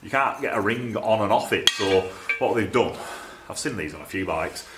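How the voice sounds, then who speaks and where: A man's voice: lowish voice, animated, normal speed, noisy background. A man with a Northern English accent talking casually to camera in a workshop, informal and rambling as he thinks aloud. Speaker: exhaust fabricator and video presenter. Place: indoors in a small cluttered workshop. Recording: roomy, echoey workshop sound.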